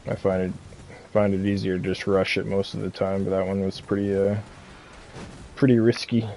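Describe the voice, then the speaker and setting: A man giving casual gameplay commentary as a streamer, laid-back and halting, often repeating himself. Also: sits low, little pitch movement, a little mellow, a little hiss or hum, low-quality recording. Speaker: game streamer giving a walkthrough.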